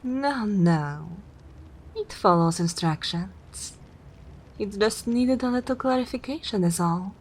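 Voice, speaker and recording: A woman playing a haughty, regal older vampire mistress in an ASMR roleplay, speaking in an imperious, measured way. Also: medium pitch, expressive, a little hiss or hum. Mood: interested, commanding. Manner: imperious, measured, breathy near-whispers.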